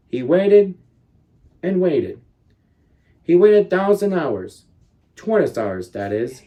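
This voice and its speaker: Man reading slowly with very animated pitch. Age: 30s. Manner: deliberate, dramatic emphasis on key words. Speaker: storyteller reading children's books aloud. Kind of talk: expressive picture book read-aloud.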